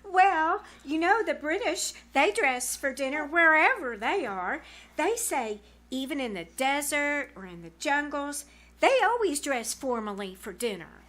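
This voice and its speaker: A woman's voice: sits high, very animated, unhurried, fairly bright tone, exaggerated voice. A woman playing an eager, earnest teenage daughter with emphatic delivery in a 1940s-style radio comedy. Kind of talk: 1940s radio comedy acting before live audience.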